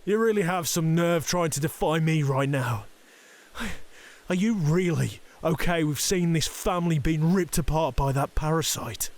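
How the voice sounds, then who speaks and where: A man playing a cruel, domineering middle-aged Yakuza boss and father in an audio drama, taunting and threatening. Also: a little high. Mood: scornful fury. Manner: taunting, threatening, slow deliberate delivery. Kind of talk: ASMR roleplay audio drama acting.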